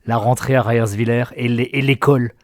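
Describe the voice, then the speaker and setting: A man hosting a local radio interview, asking questions in a lively, friendly way. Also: medium pitch, a little fast, no room sound. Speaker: radio host. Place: radio studio.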